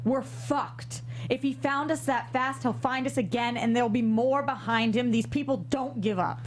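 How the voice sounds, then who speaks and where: A woman's voice: on the high side, steady tone, moderate pace, close to an everyday voice. An actress playing a jaded, exasperated woman on the run in a crime comedy table read. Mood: despairing, bitter. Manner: curt, exasperated, foul-mouthed.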